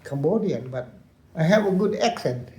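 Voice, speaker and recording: An older man with a Lao accent, a retired colonel recounting his war years in halting English, repeating phrases and laughing often. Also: on the high side, expressive, mellow, reverberant. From Laos. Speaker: interview guest, veteran colonel.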